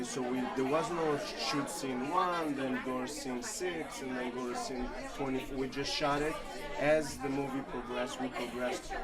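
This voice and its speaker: A man from Israel, a filmmaker being interviewed, talking in a hesitant way with many fillers and restarts. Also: medium pitch. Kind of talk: casual interview chat. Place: large indoor hall at a film expo. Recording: noisy crowded hall. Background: loud crowd chatter.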